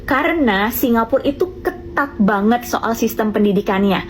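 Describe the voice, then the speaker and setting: Young woman explaining calmly, higher voice. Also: slight background noise. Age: young adult. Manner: calm, measured, lecturing. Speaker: student in Singapore. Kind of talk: explanatory commentary clip.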